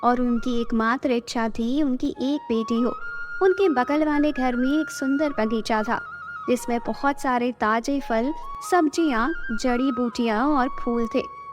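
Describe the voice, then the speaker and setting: A woman's voice: on the high side, measured pace. A woman narrator reading a scripted bedtime story for children. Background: background music.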